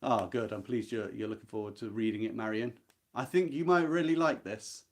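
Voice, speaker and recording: A man's voice: fairly low pitch, a little fast. A man hosting a book club, talking informally and live about literature in a reflective, earnest and emphatic way. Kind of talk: informal live talk about a classic novel.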